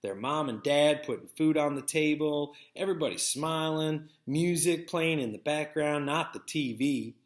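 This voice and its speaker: A man reading a first-person story aloud as narrator, with an expressive, folksy storytelling manner. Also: fairly high pitch, normal speed, few pauses. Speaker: read-aloud narrator, teacher. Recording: echoey, roomy sound.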